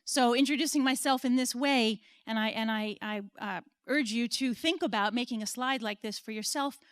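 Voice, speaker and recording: A woman's voice: on the high side, moderate pace, a bit bright, no echo. An American woman in her forties giving an earnest, personal conference talk, now and then laughing at herself. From United States. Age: 40s. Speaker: conference speaker. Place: stage at an event.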